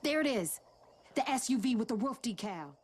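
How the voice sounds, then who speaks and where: A woman's voice: a little high, lively intonation, moderate pace, close to an everyday voice. A woman playing a tough, determined young federal agent in a video game, with a blunt, sardonic manner. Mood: dry, focused. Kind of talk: dramatic video game dialogue.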